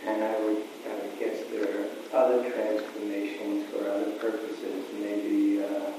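A man in a workshop audience asking a question in a measured, deliberate way. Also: fairly low pitch, completely flat delivery. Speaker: workshop participant. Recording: distant mic in an echoey room with noise.

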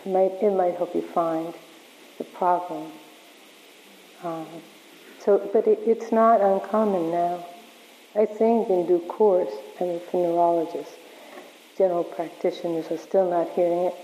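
A woman leading a workshop, answering questions in a halting way with many restarts, her voice wearing thin. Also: fairly low pitch, fairly even intonation, warm tone, a little hiss or hum. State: losing her voice. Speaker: workshop leader. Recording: echoey hall, dull lo-fi sound.

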